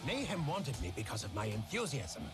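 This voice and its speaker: A man's voice: medium pitch, huge pitch swings, a little fast, loud music behind the voice. A man playing a brash, hot-headed, enthusiastic sports-fan fighter in a video game monologue. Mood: fired-up enthusiasm. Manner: enthusiastic, foul-mouthed. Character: brash, hot-headed male superfan turned cheerleading fighter. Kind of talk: scripted character monologue.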